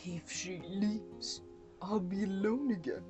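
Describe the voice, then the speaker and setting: A woman's voice: medium pitch, takes it slowly, voice pushed up, natural voice. A woman voicing a lonely, earnest young hunter in love, subdued and tender in a storybook telling. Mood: heavy-hearted. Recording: muffled, music under the voice.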